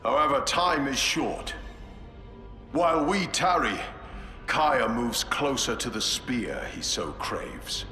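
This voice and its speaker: Grave space marine commander. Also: male voice, medium pitch, expressive, bright, forceful tone. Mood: solemn. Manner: grave, measured. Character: solemn space marine chapter master. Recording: echoey game cutscene with music.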